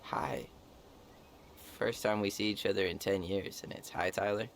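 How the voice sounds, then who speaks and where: A man's voice: low-pitched, fairly even intonation, moderate pace. A man acting an earnest, wry young American man in naturalistic, understated video game dialogue. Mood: wry, warm. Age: young adult. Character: earnest, dryly joking young man, passionate about wildlife. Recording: game stream with clear background noise.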